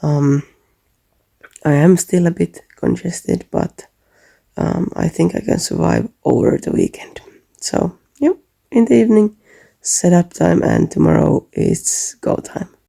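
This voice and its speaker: Young man, slightly high voice, gravelly fry, hesitant casual vlog talk. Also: unhurried, mellow, dry recording. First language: Finnish. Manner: hesitant, many ums and uhs. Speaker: convention artist vlogger.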